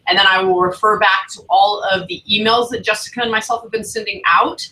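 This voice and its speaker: A woman's voice: medium pitch, fairly even intonation, brisk, bright, forceful tone, far from the mic, a little hiss or hum, rough recording. A woman academic advisor talking casually to new students on a livestream, chatty and encouraging. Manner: chatty, encouraging, loose phrasing.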